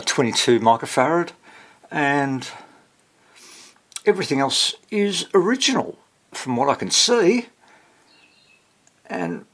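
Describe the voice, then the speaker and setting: A man hosting a casual, unscripted radio repair walkthrough, thinking aloud hesitantly and muttering questions to himself, in a husky, gravelly voice. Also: mid-range voice, a bit bright, a little hiss or hum. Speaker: radio repairer and video host.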